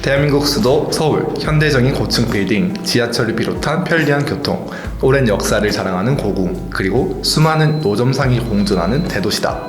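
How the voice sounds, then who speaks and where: A man's voice: mid-range voice, fairly quick, few pauses. A young man in his twenties from the south of Korea, hosting and narrating his own story in a chatty, informal way. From South Gyeongsang, Korea. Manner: chatty, informal, animated intonation. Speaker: host and narrator. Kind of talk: casual first-person vlog narration. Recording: echoey with music behind.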